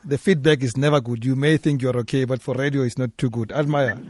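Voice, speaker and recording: A man hosting a radio call-in show, brisk and directive with callers. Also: medium pitch, fairly even intonation, a little mellow, dry recording, studio quality. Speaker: radio talk show host. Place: radio studio.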